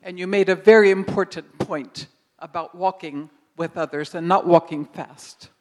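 A woman's voice: lowish voice, normal speed. Audience member: an earnest woman who takes her time.

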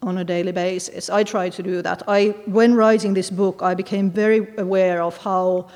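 A woman author from Finland answering audience questions, speaking thoughtfully with frequent pauses and fillers. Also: medium pitch, fairly even intonation, darkish tone, long reverb tail. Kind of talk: discussing her book with an audience.

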